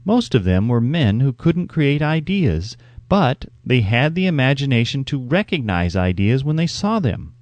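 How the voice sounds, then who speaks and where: Animated man narrating an audiobook. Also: medium pitch, no room sound.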